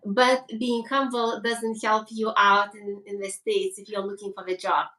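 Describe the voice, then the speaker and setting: A woman from Lithuania with a Lithuanian accent, speaking English as a second language in a halting way, with many restarts. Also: mid-range voice, flat delivery, sharp tone, slight background noise. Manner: halting, with frequent restarts and fillers. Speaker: guest. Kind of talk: conversational interview. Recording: roomy, distant mic.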